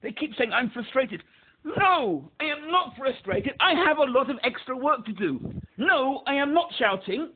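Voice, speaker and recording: A man's voice: very high-pitched, lively intonation, moderate pace, husky. A man with a speech impediment, calling a helpline and pressing his point emphatically, repeating himself. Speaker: caller to a helpline. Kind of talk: phone call. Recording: tinny phone line.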